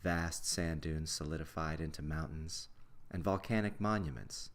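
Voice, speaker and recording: Male meditation guide, low register, measured scripted delivery, deliberate pauses between phrases.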